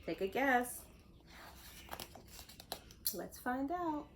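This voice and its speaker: A woman reading a story aloud to young children, expressive, pausing to explain things and ask simple questions. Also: high voice, slight background noise. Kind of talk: animated read-aloud narration.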